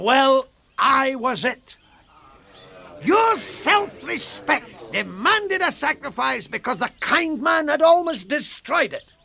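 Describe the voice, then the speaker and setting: A bitter ex-comedian in a radio play, facing down a crowd. His voice rides very high for a man and swings widely. He speaks in theatrical, rhetorical repetitions, with a hard, bright edge. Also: vocal fry, no room sound, heavily acted. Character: bitter, defiant former stand-up comic addressing a hostile crowd. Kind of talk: radio drama monologue.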